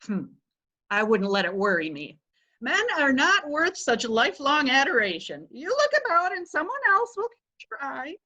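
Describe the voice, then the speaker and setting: A woman plays a brisk, worldly lady who lectures her friends and shrugs off men. Her voice is high and very lively, and it swoops as she talks. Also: full character voice. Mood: dismissive. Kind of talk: readers theatre play reading.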